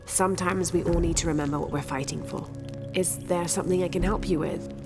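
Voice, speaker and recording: An actress voicing a resolute young woman adventurer, speaking in calm, measured, earnest tones in a video game cutscene. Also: lowish voice, close to an everyday voice. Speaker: explorer aiding a rebellion. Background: game music score.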